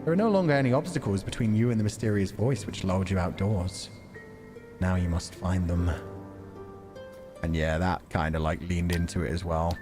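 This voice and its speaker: A man's voice: low-pitched, normal speed, music in the background. A man hosting a game stream, reading visual novel narration aloud and chatting casually about it. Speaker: livestream host playing a visual novel.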